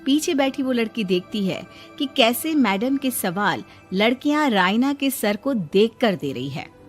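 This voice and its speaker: A woman's voice: fairly high pitch, sing-song, unhurried. Woman narrating a cartoon story over music.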